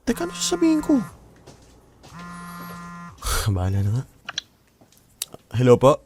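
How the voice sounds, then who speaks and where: An actor playing a polite but exasperated young son in a radio drama. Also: male voice, medium pitch, wildly swooping pitch, a little slow. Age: young adult. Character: flustered young man caught in the middle. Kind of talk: Filipino radio drama acting.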